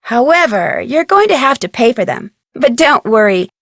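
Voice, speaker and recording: A woman's voice: fairly high pitch, sing-song, moderate pace, fairly bright tone, dry recording, studio quality, exaggerated voice. An actress playing a friendly, inquisitive young female detective, speaking upbeat video game narration and dialogue.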